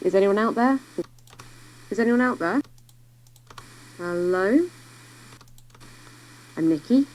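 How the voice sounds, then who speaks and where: Nikki, a slow, lone radio caller. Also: female voice, mid-range voice, lively intonation, darkish tone, poor audio. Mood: searching, calling out. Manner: repetitive calling out, projected. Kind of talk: audio drama acting.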